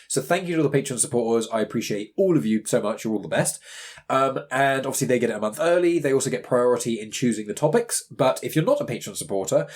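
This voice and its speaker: A man's voice: mid-range voice, darkish tone. A British man hosting a podcast, chatting casually in a rambling, conversational way. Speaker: podcast host.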